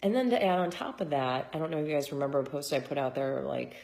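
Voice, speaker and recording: A woman in her thirties, hoarse from shouting, chatting casually to her followers in a rambling way full of fillers. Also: low-pitched, fast. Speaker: vlogger and mom. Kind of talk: casual chat to camera.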